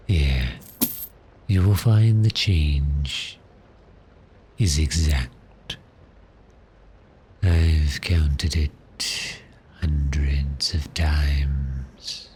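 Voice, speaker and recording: Man acting as courteous, menacing vampire for ASMR roleplay: extremely low pitch, very slow delivery. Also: a little hiss or hum. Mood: polite, hypnotic menace. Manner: courteous, unhurried, deliberate, softly menacing. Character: polite, cheerful, menacing male vampire toying with prey.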